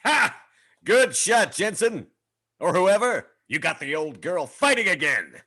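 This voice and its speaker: A man's voice: sits high, big pitch swings, a little slow, pushed and bright, full character voice. A man voicing a pompous, indignant alien navy captain with haughty, florid diction in a comedy table read. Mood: triumphant bluster.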